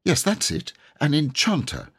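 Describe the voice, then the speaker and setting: A man's voice: on the high side, wildly swooping pitch, normal speed, fairly bright tone, slightly rough, voice pushed up, exaggerated voice. A man voicing an earnest, flustered young boy who stumbles and corrects himself politely in a storybook reading. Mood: earnest explaining. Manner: stumbling, self-correcting, polite schoolboy chatter.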